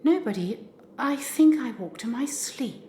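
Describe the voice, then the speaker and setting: A woman's voice: high-pitched, lively intonation, measured pace, mellow, slight background noise. A woman playing an anxious, curious new governess in an audio drama, earnest and questioning. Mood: hesitant. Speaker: amateur actor. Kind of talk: community audio drama acting.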